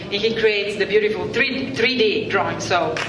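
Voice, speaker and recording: A middle-aged woman from Bulgaria with a Bulgarian accent, presenting to a community meeting in a halting way with many filler pauses. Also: mid-range voice. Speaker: urban planner presenting a workshop. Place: large event room with audience. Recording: echoey hall from far off, crowd murmur, background noise.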